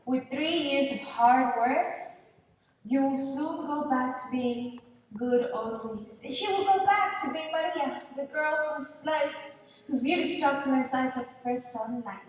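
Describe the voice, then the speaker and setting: A woman playing a mocking, scheming old swamp witch in a stage play, theatrical and deliberate. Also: sits high. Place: large indoor theater stage. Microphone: distant camera. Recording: echoey theater stage from far off.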